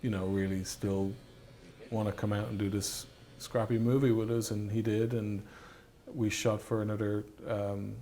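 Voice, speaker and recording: A man speaking as a film director in an interview, reminiscing about his work in halting, hesitant phrases. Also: on the low side, little pitch movement, warm tone. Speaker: film director and effects artist being interviewed.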